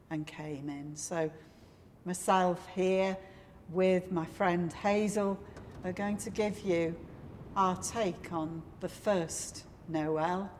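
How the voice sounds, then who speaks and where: An older woman, a church minister, giving an address and reading a poem with measured, deliberate phrasing. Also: deep voice, a little mellow, a little hiss or hum. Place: inside a large stone church. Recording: echoey church hall, faint music.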